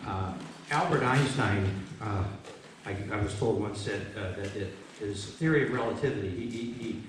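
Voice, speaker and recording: A man speaking to a live gathering as a poet, reflective and halting, moving between informal talk and reading. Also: lowish voice. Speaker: poet presenting his work. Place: book launch event in an echoey room. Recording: echoey room, distant mic, faint noise.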